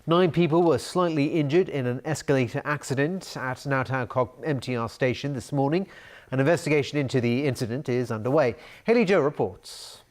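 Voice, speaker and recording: A man anchors a news bulletin in a mid-pitched voice. He reads scripted introductions to reports in a formal broadcast style. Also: a little fast.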